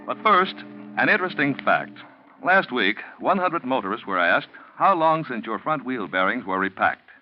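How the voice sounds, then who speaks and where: A man's voice: medium pitch, sing-song, moderate pace. A man working as a 1940s radio announcer, reading a scripted introduction and advertisement in a polished, persuasive way. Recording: muffled 1940s radio recording.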